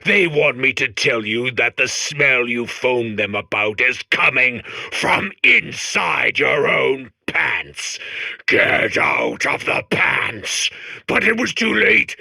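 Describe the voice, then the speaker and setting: A man voicing a grandiose horror-storytelling machine in a video game, raspy, pompous and melodramatic. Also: medium pitch, sing-song, slow pace, dry recording, full character voice. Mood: mock-menacing. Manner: melodramatic, pompous, swinging from hush to shriek. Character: theatrical horror-storytelling machine core, grandiose and self-important. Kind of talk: comedic video game horror-host acting.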